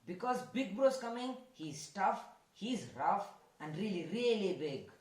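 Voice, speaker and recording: A woman voicing an excitable, fearful animal in a children's puppet show, with exclamatory, repetitive lines. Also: mid-range voice, unhurried. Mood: urgent warning. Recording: echoey room, distant microphone.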